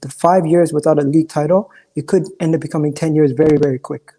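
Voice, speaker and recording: A hoarse man calling in to a fan livestream, talking candidly and rambling, with many restarts and a throat that keeps giving out. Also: on the high side, steady tone, fast talker, dark tone. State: hoarse, coughing. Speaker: caller. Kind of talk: casual chat on a fan livestream.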